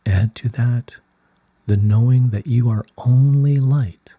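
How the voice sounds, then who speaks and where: A man giving a slow scripted reading of spiritual guidance in a solemn, measured, soothing way, with a soft, breathy voice. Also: medium pitch, dry recording, highs cut off. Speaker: reader of channelled spiritual messages.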